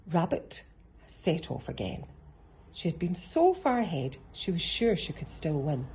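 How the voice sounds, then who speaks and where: A woman's voice: fairly low pitch, very animated, measured pace, darkish tone, slight background noise, poor audio. A woman narrating a children's picture book as a storybook reader.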